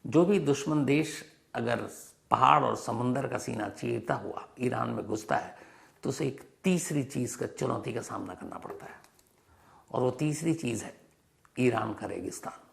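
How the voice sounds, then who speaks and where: A man hosting a storytelling explainer, speaking in a dramatic, emphatic way and repeating phrases for effect, with a gravelly voice. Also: mid-range voice, measured pace, a bit bright. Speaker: crime and history show host.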